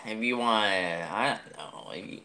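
A man's voice: fairly low pitch, normal speed, gravelly, bright, forceful tone, pitched below the performer's own voice. A man playing a nervous, pleading young suitor in an improvised skit, stammering and repeating himself. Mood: nervous, hopeful.

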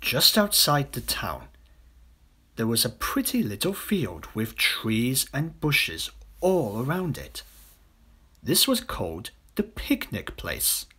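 Theatrical male storyteller, very lively intonation. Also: medium pitch, slow pace, breathy edge. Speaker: audiobook narrator. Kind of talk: dramatic children's story narration.

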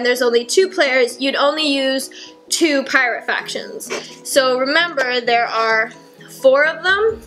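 A young woman in her twenties casually explaining board game rules at home, chatty with lots of fillers, her voice a little hoarse. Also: high-pitched, sharp tone. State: slightly hoarse. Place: home kitchen, indoors. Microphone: fixed camera at table.

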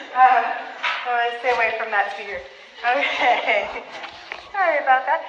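Animated woman teaching in an echoey room. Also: fairly high pitch, takes it slowly, muffled recording, background noise. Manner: animated, laughs often, talks with her hands. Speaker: therapist leading a parenting workshop. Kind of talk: live workshop talk to a small group. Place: meeting room with flip charts on the walls. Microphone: handheld mic, filmed from across the room. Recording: echoey room heard from a distance.

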